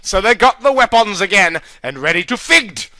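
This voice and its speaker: A man's voice: high voice, big pitch swings, normal speed, bright, forceful tone, dry recording. A man narrating a childish adventure story with earnest, mock-dramatic delivery. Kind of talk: comic reading of a childish story.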